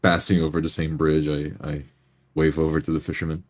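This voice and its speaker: A man's voice: extremely low pitch, flat delivery, a little fast. A man playing a tabletop roleplaying game, describing his character's actions and speaking in character.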